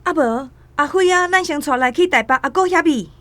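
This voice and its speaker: A woman's voice: sits high, animated, fairly quick, bright, forceful tone. An actress playing a worried, devout mother and wife in a radio drama, anxious and questioning, with a Taiwanese Hokkien accent.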